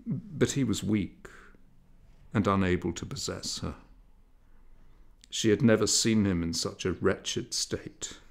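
A man narrating a literary story in a measured, dramatic storytelling manner. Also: fairly low pitch, gravelly. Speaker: audiobook narrator.

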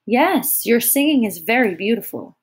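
A woman voicing a gentle, thoughtful boy in an audiobook, with a soft, earnest way of talking. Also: fairly high pitch, sing-song, normal speed, slightly rough. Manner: gentle, murmuring. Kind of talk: audiobook character voice.

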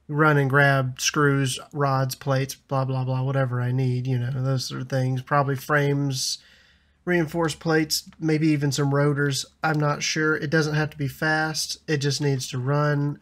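A man in his thirties with a slightly raspy voice from a lingering cough, chatting casually over a building game and laughing often. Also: medium pitch. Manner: chatty, laughs often, frequent ums and restarts. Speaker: gaming YouTuber. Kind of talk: casual gameplay commentary.

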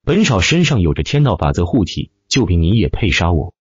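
Haughty young noble villain read by a male narrator, contemptuous, sneering, low-pitched, slightly dull audiobook sound. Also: big pitch swings, fairly quick, husky, no echo, heavily acted. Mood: cold contempt. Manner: sneering, cold.

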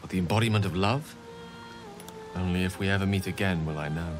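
An actor voicing an eloquent, theatrical human wizard in a video game, speaking in articulate, wordy, confessional dialogue. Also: male voice, low voice, a little fast, over music, natural voice. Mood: reflective, earnest.

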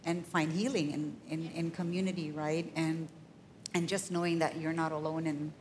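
A woman's voice: low-pitched, little pitch movement, moderate pace, slight background noise. A woman moderating a church panel, affirming and conversational, on a handheld mic in a large hall. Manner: warm affirming, conversational with fillers.

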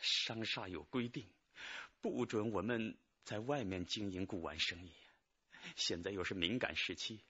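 An actor playing an anxious injured manager, pleading his innocence with halting sighs in a radio drama. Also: on the low side, pushed and bright, husky, highs cut off, exaggerated voice. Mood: pleading, explaining. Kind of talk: Chinese radio drama acting.

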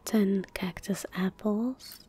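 A young woman speaking calmly and gently in a soft, breathy whisper, giving casual ASMR gameplay commentary close to the microphone. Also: mid-range voice. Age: young adult. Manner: calm, gentle, unhurried. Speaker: ASMR gaming streamer. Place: small indoor room at a desk. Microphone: close desk microphone.

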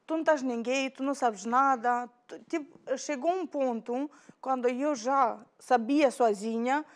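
A woman in her thirties with a Moldovan accent, a guest in a TV studio interview, speaking haltingly and searching for words, recorded on a lapel mic. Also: sits high. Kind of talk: casual interview chat. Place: bright TV studio, indoors.

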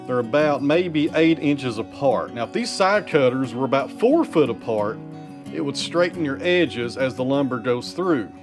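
A man's voice: medium pitch, expressive, normal speed. A man in his thirties explaining woodworking machinery to camera in a workshop, speaking casually and addressing viewers as friends. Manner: plain-spoken, addresses viewers as friends and guys. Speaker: sawmill vlogger. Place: indoor wood workshop. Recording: guitar music playing underneath.

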